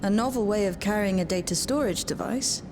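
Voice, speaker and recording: An inquisitive intelligence techie from a video game, a woman acting, speaking at a middle pitch. She is matter-of-fact and conversational as she explains. A clear rumble runs behind the voice. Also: brisk, natural voice. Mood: curious. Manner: conversational, informative.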